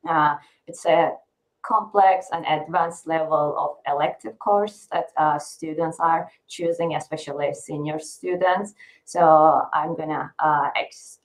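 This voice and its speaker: Low-voiced woman presenting a webinar. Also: poor audio. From Turkey. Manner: explanatory, frequent "uh" fillers. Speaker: guest speaker, assistant professor.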